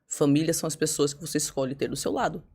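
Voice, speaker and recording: Fast-talking woman telling her story. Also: low-pitched, husky. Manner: fast, animated, acts out her own past words. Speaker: podcast guest. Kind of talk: candid personal storytelling.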